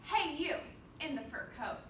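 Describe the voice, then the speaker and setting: A woman voicing a very small, loud, indignant bee with a demanding, sarcastic manner in a storybook reading. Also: sits high, animated, measured pace. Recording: roomy, distant microphone, clear noise.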